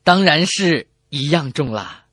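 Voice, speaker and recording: A man's voice: fairly high pitch, animated, unhurried, a bit bright, breathy edge, dry recording, clean studio sound. A man co-hosting a comedy radio show in scripted banter, with a playful, exclamatory delivery.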